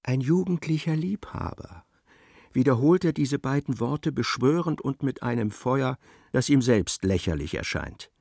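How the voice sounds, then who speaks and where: A man's voice: mid-range voice, expressive, measured pace, creaky, a little mellow, no echo, clean studio sound. A man narrating a literary radio play in a precise, wry, dramatic storytelling manner.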